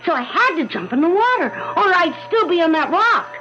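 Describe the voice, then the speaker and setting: A woman's voice: very high-pitched, fairly quick, full character voice. A woman playing an eager, earnest young boy in an old-time radio drama. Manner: earnest, excitable, childlike. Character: eager young boy, son of boating parents. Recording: muffled old radio broadcast, music behind.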